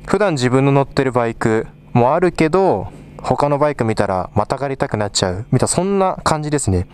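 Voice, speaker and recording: A man's voice: medium pitch, lively intonation, fast, a little mellow, clear, resonant tone. A young man in a small rehearsal studio, casually explaining his instruments while he plays them. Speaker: vlogger. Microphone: small clip mic on a head-mounted camera. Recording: live drums and guitar behind voice.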